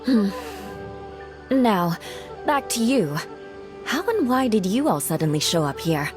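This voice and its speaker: A guarded, haughty knight of noble birth, mid-pitched with lively inflection. Her delivery is formal and cool, over game music. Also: measured pace. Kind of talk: video game cutscene acting.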